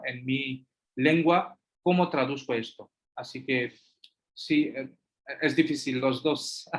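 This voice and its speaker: Male guest lecturer, Hindi native, slow and halting, many fillers. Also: mid-range voice, a bit bright. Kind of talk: answering audience questions.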